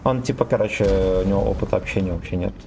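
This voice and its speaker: Brief: young male streamer, lowish voice, jokey and profane, faint game sounds under him. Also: rapid-fire, vocal fry, background noise. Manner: joking, swears freely, reads chat aloud. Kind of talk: casual game stream chatter.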